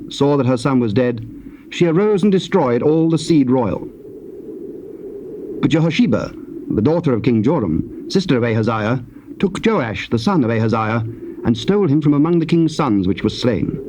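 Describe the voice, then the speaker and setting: A man narrating dramatized scripture in a solemn, measured storytelling manner. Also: mid-range voice, expressive. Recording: dull, noisy old cassette tape.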